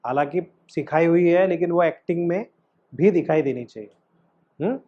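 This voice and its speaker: Guy coaching an acting class, kinda high voice, emphatic, keeps asking questions, muffled lo-fi sound. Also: moderate pace. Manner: instructive, emphatic, frequently questioning the student. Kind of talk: teaching an acting class with demonstrated lines and questions.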